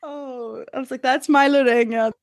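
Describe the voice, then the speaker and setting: A woman's voice: high-pitched, moderate pace, no echo, studio quality. A woman co-hosting a podcast, telling family stories with a lot of laughter. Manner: animated, laughing storyteller. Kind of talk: casual podcast chat.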